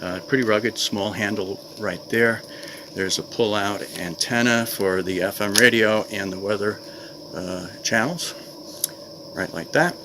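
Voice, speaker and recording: An older man with a fairly low voice talks casually to camera as a vlogger. He is plain-spoken and conversational. The recording is made outdoors, with clear background noise and insect ambience. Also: measured pace. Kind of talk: casual product review to camera.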